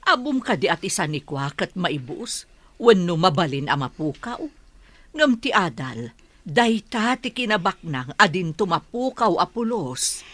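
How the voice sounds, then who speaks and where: A woman's voice: fairly low pitch, very animated, a little slow, fairly bright tone, dry recording, full character voice. A woman acting as a sensible middle-aged mother in a radio drama, advising expressively. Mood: admonishing.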